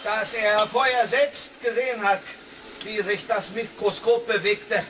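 A man's voice: high voice, fairly even intonation, unhurried, pushed and bright. An actor playing a troubled male scientist calling out from another room in a science fiction radio play. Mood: agitated, calling. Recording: distant, with hissing water noise.

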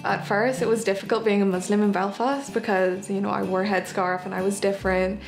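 A teenage girl talks in an easy, chatty way, dropping in a casual "you know" here and there. Her voice sits at a medium pitch. Clear guitar music plays under her words. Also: a little fast. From Malaysia, raised in Northern Ireland. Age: teens. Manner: chatty, relaxed, uses fillers like "you know". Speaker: interviewee, daughter. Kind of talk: documentary interview. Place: home living room.

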